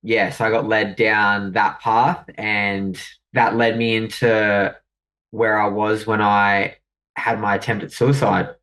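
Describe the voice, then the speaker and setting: A man's voice: on the low side, level tone, slow pace, fairly bright tone. Reflective young Australian man, slightly distant. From New South Wales, Australia. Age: young adult. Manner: reflective, hesitant, with fillers. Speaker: guest. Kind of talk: personal story in conversation.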